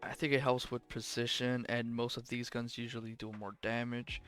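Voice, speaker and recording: A man's voice: mid-range voice, little pitch movement, moderate pace, fairly bright tone, soft background music. Rambling young man ranking game guns. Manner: rambling, self-correcting, offhand. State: voice worn from long recording, sleepy. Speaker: gaming commentator ranking weapons. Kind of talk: casual gameplay commentary.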